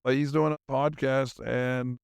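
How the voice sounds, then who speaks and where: A man's voice: mid-range voice, little pitch movement, unhurried, gravelly, smooth, dry recording, studio quality. A middle-aged man hosting a solo podcast, tired and zoned out, rambling casually in slangy, meandering talk with trailing thoughts.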